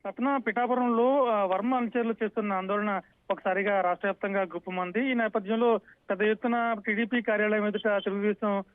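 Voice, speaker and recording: A man reporting live for TV news as a field correspondent, unscripted, with halting starts and repeated phrases, over a phone line. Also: sits high, fairly even intonation, smooth, no echo. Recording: dull, dry phone line.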